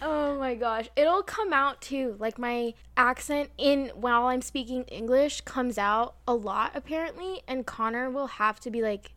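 A woman's voice: high-pitched, measured pace, hardly any pauses, fairly bright tone, no echo. A young American woman with an American accent, chatting casually and poking fun at herself. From United States. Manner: chatty, self-deprecating. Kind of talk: casual chat.

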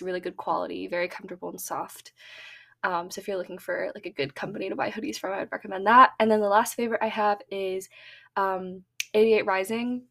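A young woman hosts her podcast in a chatty, upbeat way, with plenty of "um"s and "like"s. Her voice sits at a middle pitch for a woman. She sounds relaxed and informal. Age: young adult. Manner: chatty, filler-heavy, upbeat. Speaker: podcast host. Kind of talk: casual podcast monologue.

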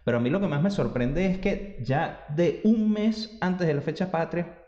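Podcast co-host, storytelling explainer; room echo, muffled sound. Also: male voice, on the high side, expressive, normal speed, warm tone. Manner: storytelling, explaining local customs. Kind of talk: casual chat.

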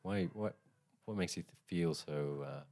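A man hosting an interview, asking measured, probing questions. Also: low-pitched, creaky, a little mellow. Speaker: interviewer host.

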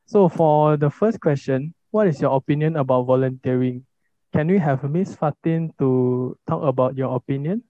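Young man hosting a podcast, mellow tone. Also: on the high side, normal speed, no room sound. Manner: formal, orderly introductions. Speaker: podcast host. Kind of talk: scripted podcast moderating. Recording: dull lo-fi recording.

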